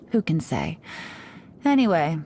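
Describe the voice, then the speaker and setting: A woman voicing a sly, laid-back female intelligence agent in a video game, speaking in a cool, unhurried, teasing, knowing way. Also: sits low, huge pitch swings, warm tone, slight background noise, heavily acted. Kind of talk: video game character voice lines.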